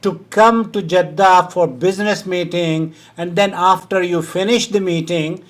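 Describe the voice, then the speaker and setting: A man's voice: sits high, fairly even intonation, measured pace. A man from India hosting a phone-in, answering a caller's question firmly and brushing aside hearsay. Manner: firm, dismissive of hearsay, with short laughs.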